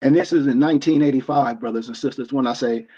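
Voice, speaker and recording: A man's voice: medium pitch, fast, warm tone, slightly rough. An American man in his forties hosting a livestream discussion, talking in a preachy, emphatic way with many restarts. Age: 40s. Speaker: discussion host. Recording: dull lo-fi stream audio.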